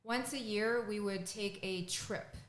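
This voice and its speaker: A middle-aged woman whose first language is Chinese, answering as a podcast guest in short, relaxed replies. She sits close to a studio mic on a boom arm in a home studio, with a mid-range voice. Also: measured pace. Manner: brief, relaxed, laughing answers. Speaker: guest, property management business owner. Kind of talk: casual interview answers. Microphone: studio mic on boom arm, headphones.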